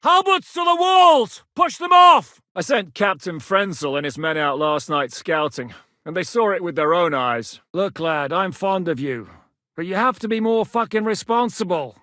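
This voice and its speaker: A man's voice: sits high, very animated, normal speed, sharp tone, no room sound, clean studio sound, exaggerated voice. An actor voicing a blunt, battle-hardened male rebel commander in a game, giving plain talk and bellowed battle orders. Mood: shouting orders. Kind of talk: game voice acting.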